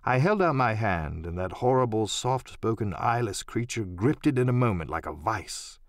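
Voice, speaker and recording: A man narrating an audiobook as a dramatic storyteller, theatrical and expressive in his delivery. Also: lowish voice, moderate pace, no room sound, clean studio sound.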